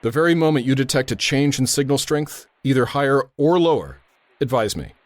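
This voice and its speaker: An actor playing a steady, grave radio operator who gives precise, authoritative instructions and narrates in the style of old-time radio drama. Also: male voice, mid-range voice, a little fast, a little hiss or hum, close to an everyday voice. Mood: tense, brooding.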